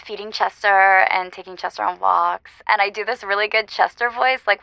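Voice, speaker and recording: A woman's voice: mid-range voice, creaky, sharp tone, dry recording, rough recording. A woman playing an earnest, quirky young dog lover calling in to a conversational advice show. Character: earnest, quirky dog-loving young female radio caller. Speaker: caller.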